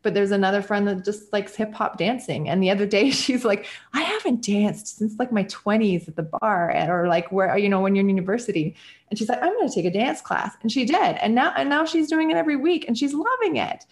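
A woman's voice: mid-range voice, brisk. Chatty woman in her forties. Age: 40s. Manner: animated, rambling with many fillers and laughs. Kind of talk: casual conversation.

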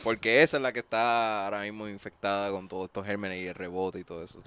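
A man's voice: fairly low pitch, flat delivery, quick pace, bright, forceful tone, clear, resonant tone, no echo. A man co-hosting a podcast, bantering with crude jokes. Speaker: podcast co-host. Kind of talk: casual chat.

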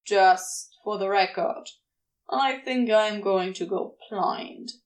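A woman's voice: mid-range voice, takes it slowly, voice pushed down, lightly acted. A woman voicing a wily, affectionate male demon in a fan fiction audio story, teasing and sardonic in a casual way. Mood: appalled, sardonic.

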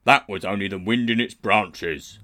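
A man's voice: lowish voice, normal speed, bright, forceful tone. A man voicing a stubborn, dismissive warthog in a storybook reading.

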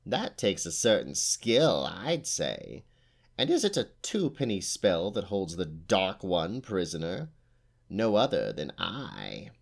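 A man's voice: low voice, wildly swooping pitch, slow, gravelly, full character voice. A man voicing an old, boastful and fierce female witch who talks with a sneer. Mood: gloating. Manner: sneering, snarling at times.

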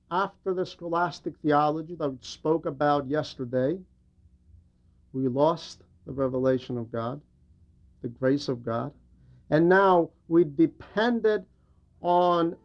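A man's voice: on the high side, a little mellow, highs cut off. A man delivering an explanatory religious homily in a didactic way, repeating phrases for emphasis. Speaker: preacher and religious teacher.

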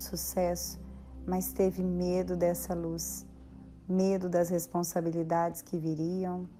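A Brazilian woman leading a guided meditation in a soothing, measured way with long pauses. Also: lowish voice, dark tone. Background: background music.

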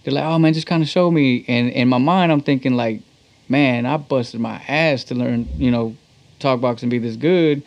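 A man's voice: mid-range voice, moderate pace, creaky. Slangy Texan man in muffled audio. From Texas. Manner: slangy, conversational. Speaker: interview guest, talk box musician. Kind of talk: casual chat.

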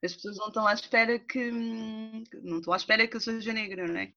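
A young woman with a Lisbon accent speaks as an interview guest, earnest and insistent, circling back to her point. Her voice is bright and sharp. The sound is dull and lo-fi. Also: on the high side, fairly even intonation, quick pace. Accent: Lisbon Portuguese. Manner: earnest, repeats herself to make a point. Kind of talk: casual conversation. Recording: dull lo-fi recording.

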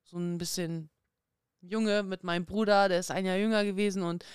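Woman in her 30s on a podcast, clear smooth voice, chatty and lively, dry close mic. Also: lowish voice, dry recording. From Kazakhstan, raised in Germany. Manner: lively, chatty, quick with fillers and restarts. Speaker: podcast guest, former boxing world champion. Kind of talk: casual podcast interview.

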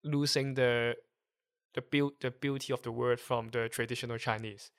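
A man from Hong Kong whose first language is Cantonese, speaking English with a Hong Kong accent as a guest, explaining things haltingly while searching for words. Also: medium pitch, little pitch movement, a bit bright, smooth. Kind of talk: casual conversation.

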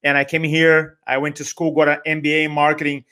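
A man in his forties with a Brazilian accent, speaking as an energetic interview guest who repeats words for emphasis and acts out quoted dialogue. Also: on the high side, quick pace. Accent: Brazilian Portuguese. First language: Portuguese. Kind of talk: podcast interview.

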